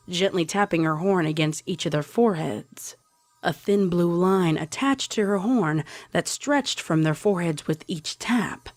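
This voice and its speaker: Low-voiced woman reading a story aloud. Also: dry recording. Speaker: fan fiction reader. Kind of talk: scripted story narration. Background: music.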